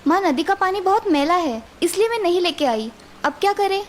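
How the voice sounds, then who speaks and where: A woman's voice: very high-pitched, lively intonation, fairly quick, a little hiss or hum, voice pushed up. A woman voicing a young village wife who is fed up with housework and complains sarcastically. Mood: questioning.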